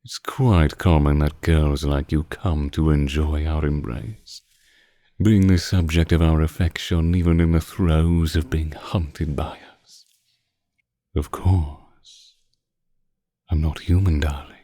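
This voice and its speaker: A man playing a seductive, possessive vampire in an intimate ASMR roleplay, teasing his captive with condescending tenderness in a slow purr. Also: very deep voice, steady tone, dark tone, no room sound, studio quality, full character voice. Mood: seductive, assured.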